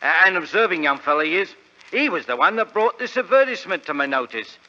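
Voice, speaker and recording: An actor playing a proud, naive middle-aged London pawnbroker, British, plain-spoken and hesitant, in 1940s radio drama style. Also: male voice, high-pitched, big pitch swings, fast talker, sharp tone, full character voice. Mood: earnest, rambling. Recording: dull vintage radio recording.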